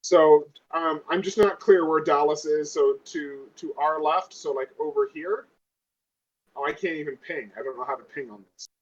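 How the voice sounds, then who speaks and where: A man's voice: fairly high pitch, steady tone, moderate pace, muffled recording. A man playing a tabletop roleplaying game, chatting casually and thinking aloud in a hesitant way.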